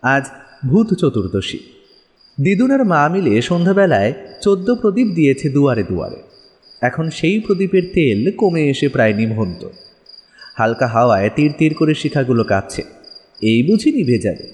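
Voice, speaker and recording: A man narrating a village ghost story in a dramatic, expressive storytelling manner. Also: mid-range voice, normal speed, big-room sound.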